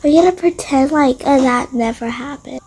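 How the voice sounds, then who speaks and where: A young-sounding female creator softly narrating an improvised toy-game roleplay, often dropping into whispers. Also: high-pitched, normal speed, a little hiss or hum.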